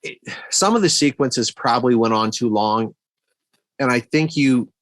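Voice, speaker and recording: A man's voice: medium pitch, moderate pace, fairly bright tone, no echo. Man in casual chat, dry close sound. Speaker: podcast co-host. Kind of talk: casual movie review chat.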